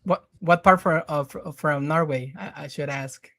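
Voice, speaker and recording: A man's voice: fairly high pitch, measured pace, sharp tone, no room sound, studio quality. A man hosting a podcast interview, talking casually with many fillers and restarts. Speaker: podcast host.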